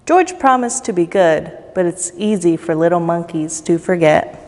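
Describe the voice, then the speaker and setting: A woman's voice: fairly low pitch, expressive, normal speed, a little mellow. A woman reading a children's story aloud at storytime, expressively, with playful asides to young listeners. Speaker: volunteer storybook reader. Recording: long echoey reverb, faint music behind.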